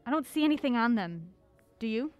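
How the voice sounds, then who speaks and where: A woman playing a feisty, quick-witted young amateur sleuth with a brisk, sardonic manner in an audio drama. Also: a little high, animated, lo-fi sound, faint music behind, lightly acted. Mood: intent.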